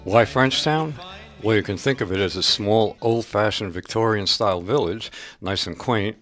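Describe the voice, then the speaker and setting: A man's voice: lowish voice, moderate pace, a bit bright, soft background music, close to an everyday voice. A man playing a composed narrator, delivering scripted explanatory narration for radio theatre. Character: composed male narrator explaining local village life.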